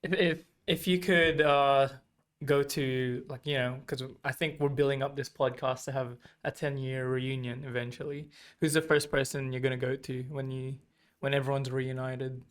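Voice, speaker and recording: A man's voice: mid-range voice, flat delivery, normal speed, clean tone. A young man hosting a casual podcast, prompting his guest with short reactions and frequent laughs. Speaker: podcast host. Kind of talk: casual chat.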